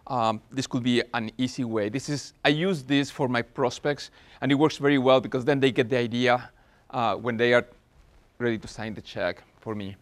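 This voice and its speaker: A man's voice: medium pitch, fairly bright tone. A man in his forties with a Spanish accent, presenting at a business workshop in an earnest way, with frequent fillers and restarts. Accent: Spanish-accented English.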